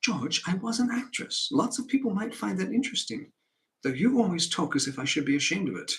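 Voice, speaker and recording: A man rehearsing the part of a hesitant, wistful wife in stage dialogue, tentative and gently protesting. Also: high-pitched, very animated, a little fast, pitched above the performer's own voice, exaggerated voice.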